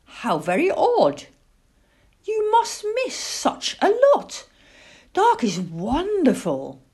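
Woman voicing a genial, animated stargazing man. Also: sits high, slow pace, voice pushed up, full character voice. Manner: exclamatory, polite, explaining. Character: genial, enthusiastic grown man, amateur stargazer.

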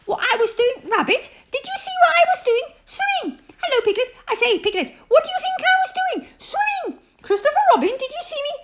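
A woman voicing a squeaky, boastful young kangaroo boy from a children's storybook, eager and proud. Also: expressive, moderate pace, a little hiss or hum. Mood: excited, proud. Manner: breathless, eager, repeating himself proudly.

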